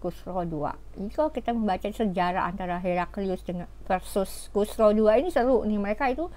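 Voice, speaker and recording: A woman's voice: mid-range voice, brisk, mellow. Woman telling history in informal speech. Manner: conversational, explanatory, with rhetorical asides. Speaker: history storyteller. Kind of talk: informal spoken history lecture.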